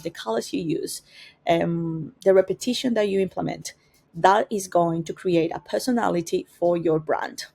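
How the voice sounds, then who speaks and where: A woman's voice: mid-range voice, creaky, a little mellow. A woman with a strong Spanish accent, a native Spanish speaker, teaching an informal marketing class in a conversational way and often searching for words. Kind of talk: informal master class talk.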